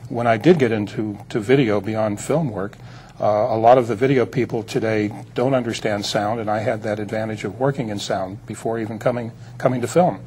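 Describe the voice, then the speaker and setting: A man's voice: on the low side, fairly even intonation, a little fast, a little mellow. Older man reminiscing over background noise. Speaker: interview guest, film producer. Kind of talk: reminiscing in a TV interview.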